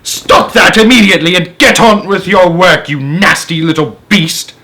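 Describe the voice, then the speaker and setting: A man reading aloud, voicing a vain, bossy aunt in a shrill, drawn-out voice. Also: animated. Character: vain, preening fat aunt, older woman.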